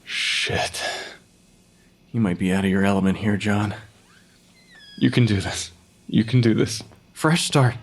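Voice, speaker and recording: An actor playing a nervous young forensic pathologist, hesitant, apologetic and easily flustered, in an audio drama. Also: male voice, fairly low pitch, a little slow, pauses often. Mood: self-doubting. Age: young adult.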